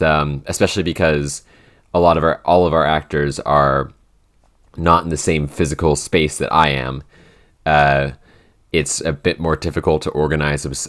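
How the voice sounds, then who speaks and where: A man's voice: rumbling low, fairly bright tone. A young man in his twenties, co-hosting a casual Q&A chat and rambling with lots of "like" and restarts. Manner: rambling, full of "like" and restarts, easy laughs. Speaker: podcast co-host, writer and director. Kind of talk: casual behind-the-scenes Q&A chat.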